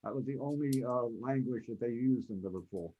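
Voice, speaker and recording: A man's voice: mid-range voice, a little fast, dark tone, rough recording. A man hosting a casual panel discussion over a video call, rambling a little as he backtracks and repeats himself. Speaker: panel moderator.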